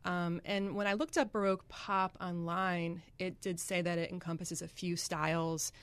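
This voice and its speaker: Lower-voiced woman, chatty radio guest. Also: level tone, moderate pace, smooth. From Greenwich, Connecticut. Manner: chatty, laughs easily, many ums. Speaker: singer-songwriter guest. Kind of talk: radio interview answers. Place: radio studio.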